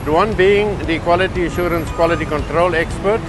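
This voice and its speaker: A man introducing himself in a relaxed way as a quality expert. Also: on the high side, fairly quick, background noise. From South Africa.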